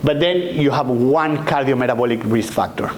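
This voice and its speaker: A doctor in his forties with an Argentinian accent lectures patients in a conference room, speaking into a handheld microphone. His delivery is relaxed and chatty, full of "okay?" and "right?" asides. A faint hum of the room sits behind him. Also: mid-range voice, moderate pace, poor audio. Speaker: doctor giving a health talk. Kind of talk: informal patient education lecture.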